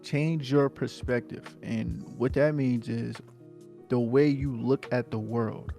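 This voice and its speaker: Insistent gravelly-voiced podcast man. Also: medium pitch, steady tone, takes it slowly, warm tone, soft background music. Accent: New Jersey. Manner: insistent, repeats "I'm telling you, bro". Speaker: podcast co-host. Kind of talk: casual podcast banter.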